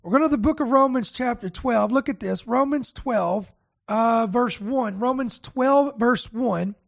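A male pastor hosting a teaching broadcast, raspy and nasal with a head cold, talking casually and straight to his viewers. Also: very high-pitched, measured pace. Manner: relaxed, speaks straight to viewers. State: congested from a virus.

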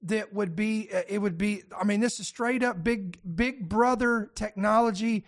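A podcast host with a naturally raspy voice, getting over a head cold, talking in loose, rambling commentary with fillers and restarts. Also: male voice, high voice, normal speed. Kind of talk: casual commentary.

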